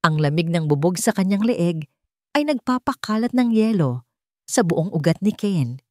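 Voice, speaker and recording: A woman's voice: on the low side, darkish tone, no echo, clean studio sound. A woman reading dramatic scripted narration as an audio storyteller.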